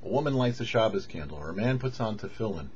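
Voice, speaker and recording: A man, a rabbi, giving scripted religious teaching with deliberate, emphatic phrasing and pauses. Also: on the low side, expressive, lo-fi sound, slight background noise. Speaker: rabbi, host of a weekly Torah show.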